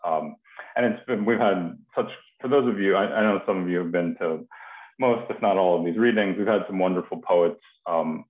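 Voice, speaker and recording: An American man, a poet and host in his thirties or forties, speaking thoughtfully over a video call from a kitchen. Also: sits low. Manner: halting, thoughtful, repeats words. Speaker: poet and host of a reading series. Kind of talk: informal hosting and poetry reading. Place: small domestic kitchen, indoors. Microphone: headset on a video call. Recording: narrowband, roomy video-call audio.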